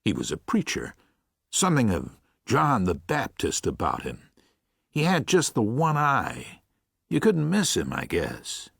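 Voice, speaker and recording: Frail old clergyman, radio drama, close dry sound, unhurried, deep-voiced, ruminative, tender, gently wry. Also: huge pitch swings, creaky, slightly rough, no echo, heavily acted. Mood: reflective, wistful. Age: older. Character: frail, gentle, reflective elderly preacher, fond father. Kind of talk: radio drama, intimate first-person reminiscence.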